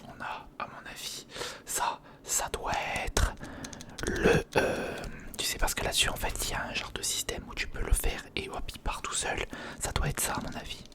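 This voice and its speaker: Whispering ASMR creator, close and intimate. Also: normal speed. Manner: whispering, addressing the viewer intimately and informally. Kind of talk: ASMR, whispered narration of a toy unboxing and build. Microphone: sensitive recorder microphone, close.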